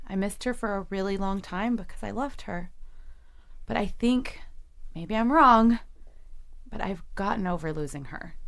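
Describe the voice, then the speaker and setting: A woman's voice: medium pitch, normal speed, vocal fry, pauses often, fairly bright tone. An actress playing a defensive young woman in therapy, guarded and sarcastic, in an audio drama. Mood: guarded, reflective.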